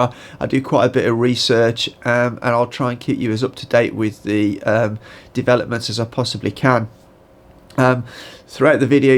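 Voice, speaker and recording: A man from the United Kingdom talks through a daily update with a croaky, stuffy-nosed voice, the tone gravelly and low in fry. He hesitates a lot, with fillers and restarts as he checks his notes. His pitch sits in a middle range. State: croaky voice and blocked nose. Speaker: channel host giving daily news update. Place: home in southern China.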